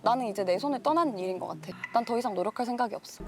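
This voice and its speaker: A woman's voice: fairly high pitch, expressive, rapid-fire. A woman from South Korea chatting casually as a dating show contestant, in a TV clip with music underneath. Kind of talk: casual chat.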